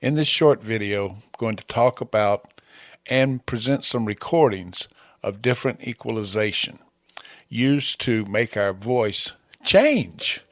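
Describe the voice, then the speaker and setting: A man presenting a scripted amateur radio tutorial in plain, measured short statements, close on a broadcast microphone. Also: lowish voice, no echo. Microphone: close broadcast podcast microphone.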